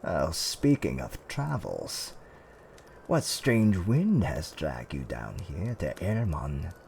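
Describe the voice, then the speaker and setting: A man playing a gentle, courteous tavern keeper in a fantasy roleplay, with soothing, old-fashioned politeness and theatrical hums and pauses. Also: sits low, sing-song, gravelly, a little hiss or hum, pitched below the performer's own voice, heavily acted. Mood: welcoming, musing. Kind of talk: roleplay ASMR character acting.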